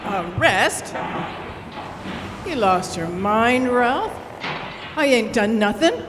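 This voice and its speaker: A woman playing a brash, rough-talking frontier cook with slangy, defiant speech in an old-time radio adventure. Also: fairly high pitch, expressive, takes it slowly. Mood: indignant. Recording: reverberant hall, clear background noise.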